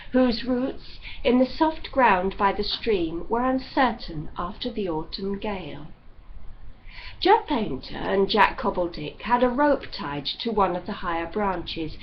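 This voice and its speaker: A woman reading literary fiction aloud as an audiobook narrator, in an expressive storytelling style. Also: medium pitch. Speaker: audiobook reader. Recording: dull lo-fi audio with light noise.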